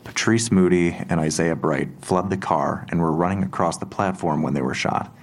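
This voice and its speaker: An actor playing a blunt, skeptical male investigator in an audio drama, gravelly and terse, putting questions in a measured, matter-of-fact way. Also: low voice, a little hiss or hum. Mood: blunt, callous. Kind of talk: naturalistic audio drama acting.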